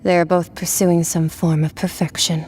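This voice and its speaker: A woman playing an aloof, blunt young warrior from another world in a video game cutscene, deadpan and detached. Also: fairly low pitch, moderate pace, natural voice. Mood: mildly puzzled. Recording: background music under the voice.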